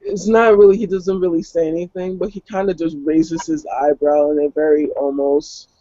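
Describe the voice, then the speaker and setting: A man's voice: on the high side, level tone, moderate pace, dark tone, no echo. A man casually narrating his character's actions in a roleplay game, informal and loose in his phrasing. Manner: informal, hedging with "like" and "kinda". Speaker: roleplay player. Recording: dull online call.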